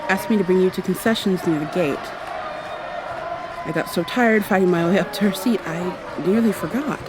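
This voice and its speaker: A woman playing a brisk, awkward time traveler in an ASMR roleplay, talking to the listener in a rambling, wry way and thinking out loud. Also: on the low side, a crowd in the background, background noise, close to an everyday voice. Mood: casual, hesitant. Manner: rambling, hesitant, thinking out loud mid-sentence. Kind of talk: ASMR roleplay, acted one-sided dialogue to the listener.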